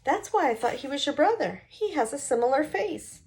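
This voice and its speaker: A woman's voice: sits high, sing-song, moderate pace, darkish tone, voice pushed up. A woman voicing a quirky, mischievous old woman in a storybook, forgetful and musing in quaint little phrases. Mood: chirpy, musing. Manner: quaint wordplay, musing in short questions.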